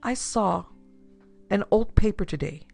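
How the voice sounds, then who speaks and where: A narrator voicing a distressed, earnest young woman with a halting, pleading manner in an audiobook story. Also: mid-range voice, gravelly, darkish tone, no echo, soft background music, pitched above the performer's own voice. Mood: anxious, pleading.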